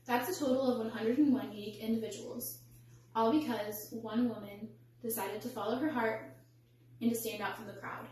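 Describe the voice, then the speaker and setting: A young woman delivering a rehearsed storytelling speech in a measured, formal, carefully enunciated way. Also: on the high side, fairly even intonation, a little mellow. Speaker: student public speaker. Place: indoor echoey room, recorded from a distance. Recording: echoey room, distant mic, faint hum.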